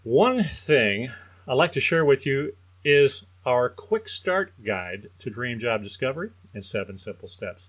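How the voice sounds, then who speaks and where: An older man hosting a career-coaching podcast, reading a prepared monologue in a measured, earnest way. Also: medium pitch, big pitch swings. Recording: thin phone-like sound.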